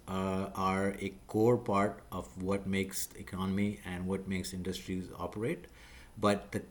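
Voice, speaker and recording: Lowish-voiced man lecturing with many fillers. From Pakistan. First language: Urdu. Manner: measured, lecturing, many fillers and self-corrections. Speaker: video creator explaining job skills. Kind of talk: unscripted talking-head explainer.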